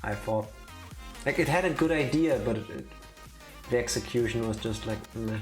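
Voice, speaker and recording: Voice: mid-range voice, normal speed. Playfully opinionated streamer chatting over music. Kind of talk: casual chat before a game stream. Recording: music playing behind the voice.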